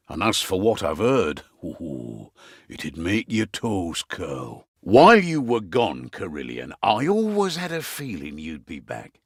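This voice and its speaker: A roguish, middle-aged innkeeper and spymaster for a video game. His delivery is unhurried and swings widely in pitch as he teases and grumbles in wry, colloquial banter. The sound is close and dry. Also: a bit bright, no room sound, exaggerated voice. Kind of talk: video game character voice lines.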